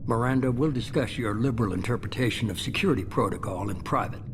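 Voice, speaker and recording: An actor playing a secretive organisation leader in a video game, measured, formal and condescending. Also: male voice, mid-range voice, close to an everyday voice. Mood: cold, controlled. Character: cold, controlled, secretive leader of a shadowy organisation. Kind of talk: video game dramatic acting. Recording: clear ambient noise behind.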